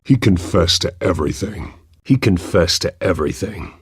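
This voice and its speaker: An actor playing a brooding, commanding masked vigilante in a video game, speaking in terse, controlled, menacing lines. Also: male voice, low voice, animated, normal speed, breathy edge, no room sound, studio quality, exaggerated voice.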